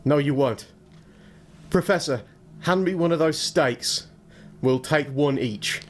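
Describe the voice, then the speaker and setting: Haunted young gentleman, higher-pitched voice. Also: slow. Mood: determined. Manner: earnest, troubled, formal. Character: anxious, haunted young English gentleman husband. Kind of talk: gothic audio drama acting and first-person narration.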